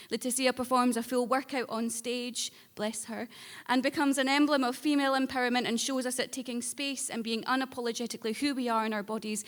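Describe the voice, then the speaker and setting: A woman with a fairly high voice reads out prepared award citations at a live ceremony. Her delivery is measured and articulate, and the hall gives it a long echo. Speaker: award judge announcing shortlist. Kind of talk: prepared presentation speech. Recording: echoey hall with long reverb.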